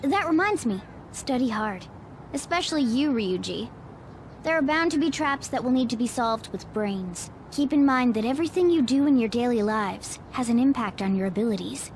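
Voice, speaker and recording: A woman's voice: fairly high pitch, expressive, normal speed, slight background noise. A woman voicing a know-it-all talking cat mentor in an English video game dub, instructive and commanding.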